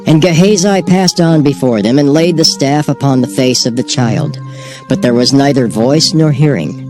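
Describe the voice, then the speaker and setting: A man narrating scripture in a measured, solemn dramatized reading. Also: mid-range voice, lively intonation. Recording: music bed behind voice. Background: music.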